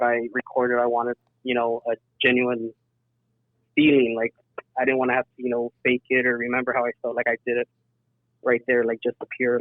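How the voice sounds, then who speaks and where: A man speaks in an earnest, conversational way, as a guest giving his own account. His voice sits at a medium pitch. The sound is dry and narrow, like a telephone call. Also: dry recording. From south Texas, United States. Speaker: interview guest, emergency dispatcher. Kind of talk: casual personal account in a podcast interview. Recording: thin phone-like band-limited sound.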